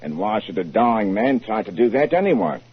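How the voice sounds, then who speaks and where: Muffled old radio show, a puzzled copper, plain talking, lively intonation. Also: male voice, lowish voice, normal speed, breathy edge. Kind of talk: 1940s radio drama acting. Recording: muffled 1940s radio broadcast recording.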